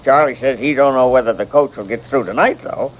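An actor playing a plain-spoken, easily amused saloon keeper with folksy western talk in an old radio drama. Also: male voice, mid-range voice, a little fast, muffled recording, natural voice. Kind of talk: 1940s-50s radio western acting. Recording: noisy old radio recording.

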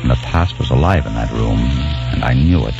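A man's voice: very deep voice, lively intonation, a little fast, gravelly, over loud music, heavily acted. An actor playing a young American man obsessed with the past, in an old radio drama, narrating earnestly. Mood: wondering. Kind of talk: 1940s radio drama acting. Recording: dull old radio recording.

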